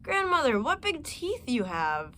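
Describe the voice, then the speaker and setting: A woman voicing an innocent, chatty little girl, speaking in a higher, eager and naive voice. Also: wildly swooping pitch, measured pace, sharp tone, exaggerated voice. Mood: wondering.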